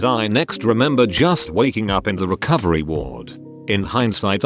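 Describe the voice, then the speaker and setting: A man reading out forum stories as narration over background music. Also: lowish voice. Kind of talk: read-aloud narration.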